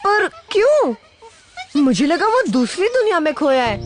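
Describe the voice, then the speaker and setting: A plucky young jungle boy in a cartoon. The voice is very high and lively, earnest and quick-witted, with music playing underneath. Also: heavily acted. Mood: earnest, concerned. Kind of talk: Hindi cartoon voice acting. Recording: music behind the voice.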